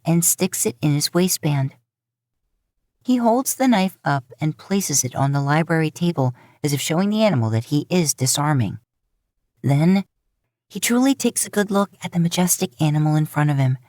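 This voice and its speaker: Woman, low pitch, scripted story narration, dry sound. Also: a little mellow, no room sound. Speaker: podfic narrator. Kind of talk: scripted fan fiction narration.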